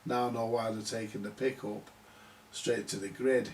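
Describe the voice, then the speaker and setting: A man giving halting, unscripted commentary at a workbench, muttering to himself as he works things out. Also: on the low side, flat delivery, a little mellow. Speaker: vintage radio repair hobbyist. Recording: distant mic with background hiss.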